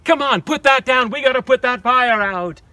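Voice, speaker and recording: A man's voice: very high-pitched, normal speed, sharp tone, dry recording, far from the mic, pitched above the performer's own voice. A man voicing a bossy fire chief scolding a dragon. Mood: exasperated.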